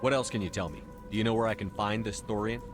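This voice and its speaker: Male actor as game hero commander, stoic, low and quick, firm and to the point, a bit of music behind. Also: close to an everyday voice. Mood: firm, composed. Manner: direct, businesslike, firm. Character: stoic, dutiful male military commander, calm and purposeful. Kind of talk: video game dialogue acting.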